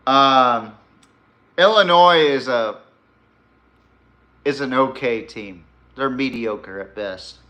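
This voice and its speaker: Male American sports podcast host, mid-pitched with a forceful bright edge; casual, opinionated commentary. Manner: opinionated, informal.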